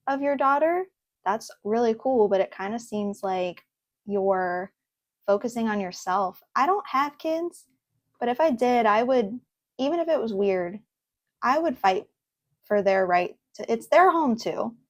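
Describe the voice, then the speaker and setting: A woman's voice: mid-range voice, no room sound, studio quality. Chatty young woman reacting to posts. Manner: chatty and earnest, with fillers and pauses. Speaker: host. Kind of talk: casual reaction video, reading posts aloud. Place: home room, at her desk.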